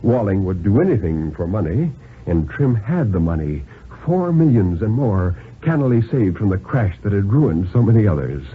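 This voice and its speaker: A man narrates a story in dramatic 1950s radio style. His delivery is theatrical and deliberate, swinging widely in pitch for effect. The recording is a thin, band-limited vintage broadcast. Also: big pitch swings, husky, phone-quality sound. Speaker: narrator reading a thriller story.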